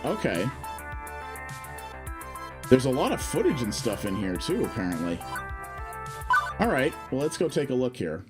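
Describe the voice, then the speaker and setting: A man hosting a live stream, giving his easygoing first impressions of a game. He talks in a relaxed, conversational way and thinks out loud as he clicks through the options. His pitch sits in a plain middle range, with faint music underneath. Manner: chatty, thinking aloud while clicking through menus.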